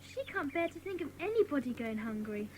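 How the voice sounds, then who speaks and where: A dreamy, excitable little schoolgirl in a children's audio drama. Her voice is high and swoops expressively, with faint noise behind it. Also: fairly quick, low-quality recording. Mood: chatty. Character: bright, dreamy, enthusiastic young schoolgirl.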